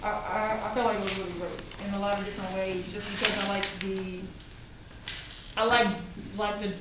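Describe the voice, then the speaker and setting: A woman chatting casually and slangily, animated, with joking asides. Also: mid-range voice, normal speed. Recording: very echoey room, distant mic, noisy.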